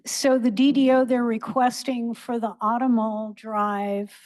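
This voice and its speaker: A woman's voice: on the high side, flat delivery, unhurried, darkish tone. A woman on a city board asking pointed, detailed questions at a public hearing. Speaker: board member. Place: council chamber.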